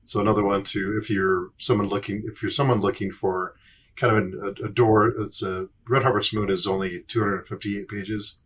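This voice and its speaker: A man co-hosting a podcast in casual chat, halting and often repeating his phrases. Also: on the low side, level tone, a bit bright, a little hiss or hum. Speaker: podcast host.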